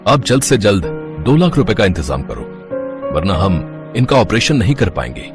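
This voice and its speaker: A man voicing a calm hospital doctor who speaks gravely in a cartoon story. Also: on the low side, normal speed, over music.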